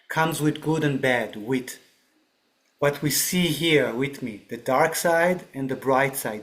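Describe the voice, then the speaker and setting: Slow, reflective man with a higher voice. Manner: reflective, explanatory, often adds "right?". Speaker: podcast guest. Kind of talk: spiritual discussion.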